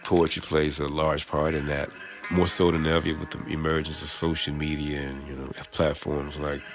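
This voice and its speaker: Very deep, gravelly male interviewee. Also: little pitch movement, moderate pace, music in the background. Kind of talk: thoughtful explanatory interview talk.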